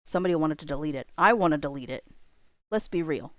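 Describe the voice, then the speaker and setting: Low-pitched woman rambling casually, dry close sound. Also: animated, fast, no room sound. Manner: rambling, self-interrupting, informal. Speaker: livestream host. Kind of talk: casual livestream chat, thinking aloud.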